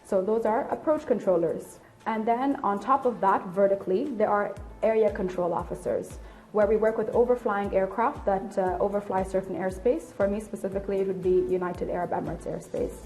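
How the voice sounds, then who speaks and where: A woman's voice: medium pitch, fairly quick, a little mellow, soft background music. A woman in her late twenties giving candid, articulate explanations in an interview. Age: late 20s. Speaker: air traffic control officer and instructor being interviewed.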